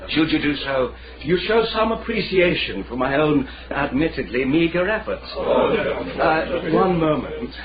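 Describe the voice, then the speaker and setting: An actor playing a pompous, effusive amateur poet with a florid, theatrical manner in a radio drama. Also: male voice, a little high, expressive, measured pace, few pauses. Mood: flattering, self-satisfied. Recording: muffled radio play with clear crowd behind.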